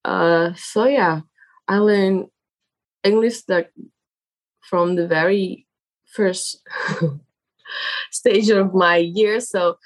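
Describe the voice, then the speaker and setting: A young woman whose first language is Indonesian, speaking English in a casual interview as the guest and often pausing to search for words. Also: medium pitch, rough recording. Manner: halting, searching for words with many fillers.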